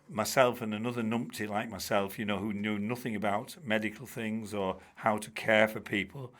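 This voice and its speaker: An older man, a former teacher telling stories in a chatty interview, full of restarts and asides. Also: medium pitch. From Bradford, England. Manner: chatty, warm-humoured, many restarts and "you know"s. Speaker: guest, charity trustee and former teacher. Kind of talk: relaxed storytelling in an interview.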